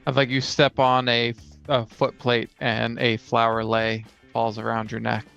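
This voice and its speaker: Smooth, even-toned man, gently gravelly. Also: medium pitch, normal speed. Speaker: co-host and player on a tabletop gaming show. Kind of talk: casual brainstorming chat. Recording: slightly dull recording with music behind.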